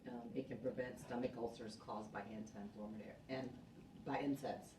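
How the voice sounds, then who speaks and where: Woman, very low voice, student reading aloud, distant from the mic, noisy room. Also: steady tone, normal speed. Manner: reading aloud from a search result. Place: classroom. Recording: distant, echoey, noisy classroom.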